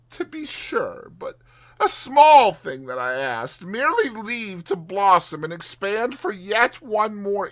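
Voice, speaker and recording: Man doing a high, pompous storybook gentleman toad. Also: full character voice. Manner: pompous, melodramatic. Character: conceited male toad, swaggering, theatrical, self-pitying gentleman. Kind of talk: dramatized audiobook reading.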